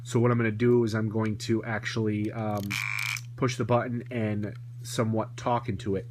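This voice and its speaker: A man's voice: fairly low pitch, level tone, normal speed. A man giving a casual, rambling hands-on toy review, often correcting himself as he goes. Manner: rambling, self-correcting asides. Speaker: toy collector reviewing a vintage toy. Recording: noisy home recording with electrical hum.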